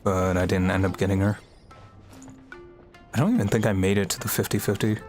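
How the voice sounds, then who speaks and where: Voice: low voice, fairly even intonation, fairly quick, a little mellow. A game livestreamer giving casual, rambling, conversational commentary over a game. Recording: game audio and music behind. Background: game dialogue and music.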